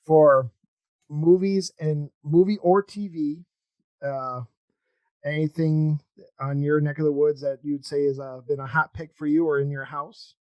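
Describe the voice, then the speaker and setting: A man hosts a relaxed podcast interview. His voice is a little high and recorded very dry. He speaks haltingly and informally, rambling his way from one point to the next. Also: no room sound, lo-fi sound. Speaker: podcast host. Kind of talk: casual interview chat.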